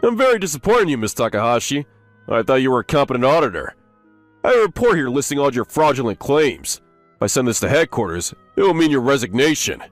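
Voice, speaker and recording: A man's voice: mid-range voice, big pitch swings, fast, faint music behind, natural voice. A man playing a pompous, crooked office superior in an English manga dub. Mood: smug, stern.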